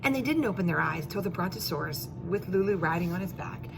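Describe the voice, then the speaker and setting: A woman teacher reading a children's story aloud, expressively, with drawn-out emphasis and questions and asides to her young pupils. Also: fairly low pitch, animated, vocal fry, noisy background. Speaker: elementary school teacher.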